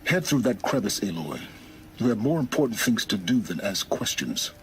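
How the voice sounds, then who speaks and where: An actor playing a secretive, self-serving middle-aged man who guides with measured, cryptic authority in a video game. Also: on the low side, very animated, fairly bright tone, slight background noise. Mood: guarded, imperious. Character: secretive, commanding male informant serving his own interests. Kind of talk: dramatic video game acting. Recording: music behind the voice.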